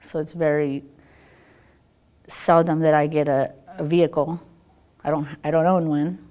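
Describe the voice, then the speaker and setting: A middle-aged American woman answering an interview in an informal, halting, conversational way. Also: deep voice, flat delivery, mellow, clean tone. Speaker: news interviewee.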